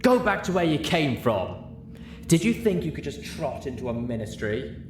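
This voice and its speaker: A man's voice: a little high, big pitch swings, normal speed, distant mic, voice pushed up. A man voicing hostile, jeering, bigoted pony townsfolk with an accusing manner in a story reading. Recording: roomy, clearly noisy home recording.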